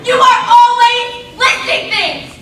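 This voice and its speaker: A high-pitched, anguished young woman pleads and grieves in a stage play, acted by a woman. The delivery is theatrical and deliberate. It is captured from far off in a very echoey hall with loud noise. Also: expressive, a loud crowd, full character voice. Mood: distraught. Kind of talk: stage play monologue and dialogue. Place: stage in a reverberant hall, distant mic.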